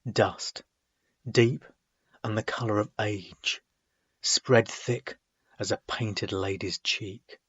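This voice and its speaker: A man reading his own poetry dramatically, in measured, deliberate phrases broken by frequent pauses. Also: lowish voice, steady tone, a bit bright, slightly rough, dry recording, muffled recording. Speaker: poet reading own work.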